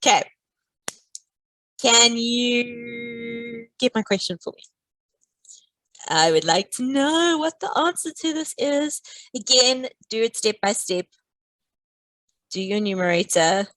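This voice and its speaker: A woman's voice: on the high side, slow, long pauses, fairly bright tone, no room sound, rough recording. A woman teaching a live online maths lesson, playful and teasing, walking through each step.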